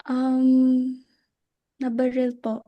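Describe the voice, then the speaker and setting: A woman's voice: high voice, monotone, drawn-out words, dull audio. A Filipino woman playing a shy, reserved widowed newcomer in her late twenties, in a dramatic reading. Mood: reticent.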